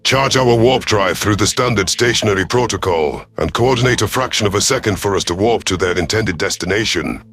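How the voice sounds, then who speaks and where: A man's voice: lowish voice, fairly quick, few pauses, a bit bright. A man voicing a battle-hardened alien predator commander who gives formal, authoritative orders in a sci-fi audio story. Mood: stern, commanding. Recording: music behind the voice.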